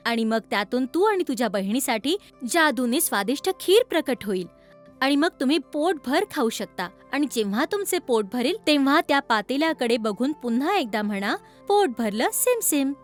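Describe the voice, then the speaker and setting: A woman voicing a kind, gentle fairy in a children's cartoon story, explaining things in a measured, instructive way. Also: high voice, big pitch swings, soft background music. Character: kind fairy granting a magical boon, gentle.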